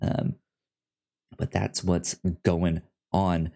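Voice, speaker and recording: Young male co-host, low-pitched, heavy stutter on word starts, easygoing chat. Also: little pitch movement, drawn-out words, creaky, mellow. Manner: stutters heavily on first sounds. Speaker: podcast co-host. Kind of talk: casual chat.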